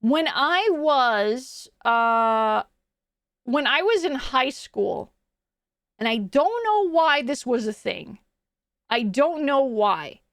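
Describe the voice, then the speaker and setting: Young adult woman, high voice, dry close mic, casual streamer chat, rambling, restarts, frequent laughs. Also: animated, slow, a bit bright, no room sound.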